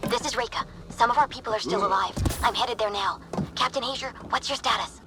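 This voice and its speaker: A woman's voice: on the high side, fairly quick, lightly acted. A woman playing an urgent resistance fighter reporting in during a video game. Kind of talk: video game character dialogue. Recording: music under the voice.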